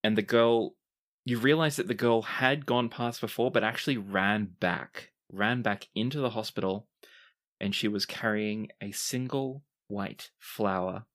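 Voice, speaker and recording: A game master narrating a tabletop roleplaying game in short, dramatic, unhurried storytelling phrases. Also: mid-range voice, a bit bright.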